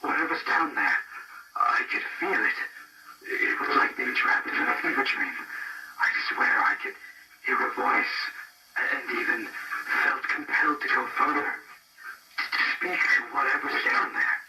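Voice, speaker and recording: A man playing a shaken, haunted archaeologist recounting his ordeal in a halting horror monologue. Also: mid-range voice, animated, bright, forceful tone. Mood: haunted, distressed. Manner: halting, repeats himself, haunted. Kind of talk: horror found-footage monologue. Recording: distant, noisy, lo-fi tape-like sound.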